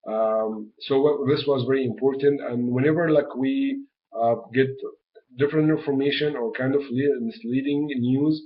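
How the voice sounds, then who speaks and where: A man's voice: mid-range voice, steady tone, moderate pace. A young man from Egypt, a graduate student answering interview questions hesitantly, with lots of fillers and restarts. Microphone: webcam mic. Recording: dull lo-fi webcam audio.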